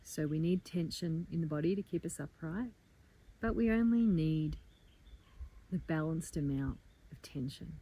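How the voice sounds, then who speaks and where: Woman, lowish pitch, very soft-edged dark tone, calm, gentle, measured meditation guidance. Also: husky, rough recording. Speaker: meditation teacher, guide. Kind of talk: guided meditation, soft slow instruction.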